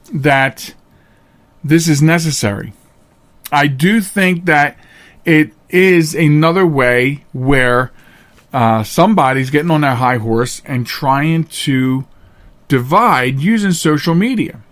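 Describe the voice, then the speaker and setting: A middle-aged man hosting a podcast, giving casual opinionated commentary in a halting, emphatic way. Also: a little high, a bit bright. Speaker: podcast host.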